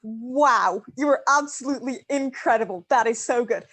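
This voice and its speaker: High-voiced, gushing woman. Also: moderate pace, a bit bright. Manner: gushing, emphatic. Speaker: cast member giving feedback. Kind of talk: casual, effusive chat.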